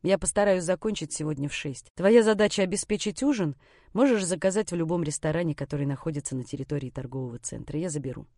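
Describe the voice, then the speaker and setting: A woman voicing a confident, commanding man in an audiobook, speaking in a calm, sardonic, curt manner. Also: low-pitched, fast talker, no echo, studio quality.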